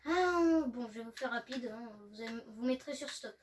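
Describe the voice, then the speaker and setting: Woman co-host, chatty and hesitant, slightly high voice, faint hiss. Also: lively intonation, moderate pace. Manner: chatty, hesitant with many fillers. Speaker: gaming channel co-host. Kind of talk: casual gameplay chat. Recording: faint noise, distant from mic.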